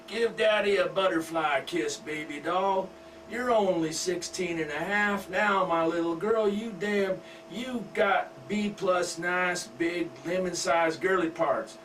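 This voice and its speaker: A man voicing a crude, indulgent, rich middle-aged country husband with a loud, coarse drawl. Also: sits high, slow pace, voice pushed up. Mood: coaxing. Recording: distant mic with faint hum.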